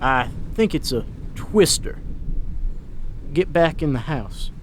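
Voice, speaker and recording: A man voicing a steady, gruff elderly husband with a raspy voice in an audio story. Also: fairly high pitch, takes it slowly, slight background noise. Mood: urgent, firm.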